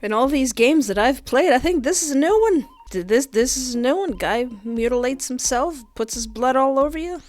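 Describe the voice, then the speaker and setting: A young woman hosting a countdown of game characters, excitable and goofy, often interrupting herself. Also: high-pitched, moderate pace, few pauses, soft background music. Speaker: youtube host.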